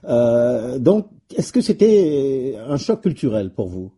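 A male interviewer talks in a polite, conversational way. His voice is very mellow and dark, with a husky grain, at an average pitch for a man. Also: big pitch swings, slow.

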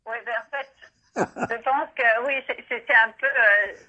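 Female phone guest from France, 40s, husky voice, hesitant, dull line. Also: fairly high pitch, a little slow, pushed and bright. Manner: hesitant, many fillers. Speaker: guest, cookbook author. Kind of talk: phone interview. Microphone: telephone.

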